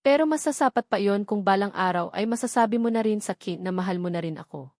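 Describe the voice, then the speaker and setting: A woman's voice: medium pitch, fast, a little mellow, no echo, clean studio sound, natural voice. A woman with a Filipino accent reading the part of a devoted, tender husband in a romance audiobook, earnest and sentimental.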